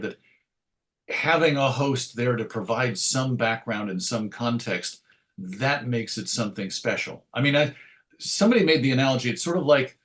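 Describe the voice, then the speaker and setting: A man with a mid-pitched voice talks in a relaxed interview setting as the guest. He is thoughtful and anecdotal, often slipping into quoted asides to make a point. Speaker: interview guest, radio show host. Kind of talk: conversational interview.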